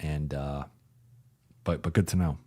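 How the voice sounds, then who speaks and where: A man hosting a casual basketball livestream, talking loosely with many restarts and reading viewer comments, his voice going a bit raspy as he talks. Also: very deep voice, steady tone, measured pace, dark tone. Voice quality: raspy when talking for a while. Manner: loose and conversational, frequent restarts, reads viewer comments aloud. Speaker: sports reporter and channel host. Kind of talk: casual live-chat basketball analysis. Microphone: home streaming mic.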